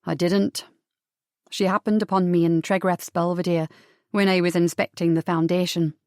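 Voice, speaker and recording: Lady narrator doing a shy proper gentleman, lowish voice, hesitant and careful, storybook dialogue. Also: level tone, no echo, studio quality, close to an everyday voice. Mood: reserved. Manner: hesitant, measured, understated. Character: Adam, reserved, diffident young male architect and father. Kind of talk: audiobook character dialogue.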